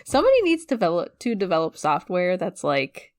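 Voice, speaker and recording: A woman in casual podcast chat, telling everyday stories with animation and frequent laughter. Her voice sits slightly low for a woman. The sound is dry and close, recorded with headphones on. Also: fairly quick, no echo. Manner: laughs a lot, animated storytelling. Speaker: podcast guest co-host. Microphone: headphones, studio mic.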